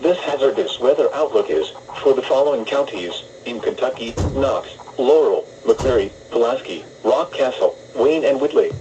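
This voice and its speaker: A man's voice: mid-range voice, lively intonation, normal speed, few pauses, dark tone. A man reading a scripted weather outlook as a radio announcer in a formal, impersonal way. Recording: dull, distant, faintly noisy radio broadcast.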